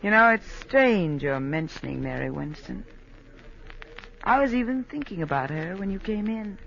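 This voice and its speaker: An actress playing a cool, guarded nightclub singer on the run in a 1950s radio drama, evasive and clipped. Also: female voice, medium pitch, expressive, normal speed, creaky, fairly bright tone, slight background noise. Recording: dull vintage radio recording.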